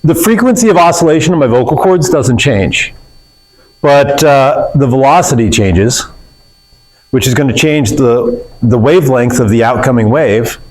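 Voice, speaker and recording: A man's voice: medium pitch, animated, normal speed, a little mellow, rough recording. A man teaching a physics class in a classroom, explaining in a casual way with fillers and self-corrections. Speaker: physics instructor. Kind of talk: classroom lecture.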